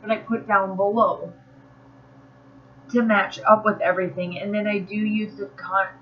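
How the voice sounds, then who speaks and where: A woman's voice: medium pitch, fairly even intonation, normal speed, dull audio. A woman hosting a crafting channel, chatting casually in a voice-over while she walks through her work, a little nasal from allergies and rambling with fillers and restarts. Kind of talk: casual voice-over narrating a crafting process video.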